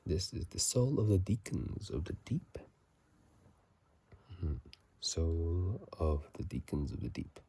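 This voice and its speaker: Low whispering man with gravelly creak. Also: big pitch swings. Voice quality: soft whispery voice, breaking into low murmur. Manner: halting, casual, self-correcting. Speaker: channel host. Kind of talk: whispered ASMR game commentary. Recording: faint noise, phone microphone.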